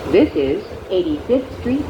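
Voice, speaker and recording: A woman makes pre-recorded transit announcements at a very slow, measured pace. Her fairly low voice moves in lively swings of pitch. It plays inside a subway train with clear ride noise around it. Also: dull audio. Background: train rumble and ambience.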